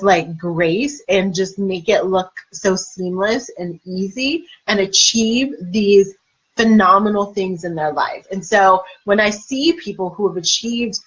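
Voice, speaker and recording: A woman with a cold and a husky, congested voice, chatting casually to viewers of her live stream as a life coach. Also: medium pitch. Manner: chatty, addresses viewers directly, laughs at herself. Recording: slightly dull lo-fi live stream audio.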